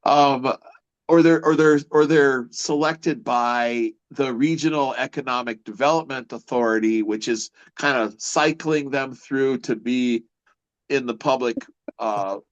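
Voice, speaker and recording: A man, a mid-pitched, ordinary voice, talks politics in a loose conversation. He circles his points with halting restarts and fillers before landing them. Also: lo-fi sound. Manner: rambling, halting, many restarts. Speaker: interviewer.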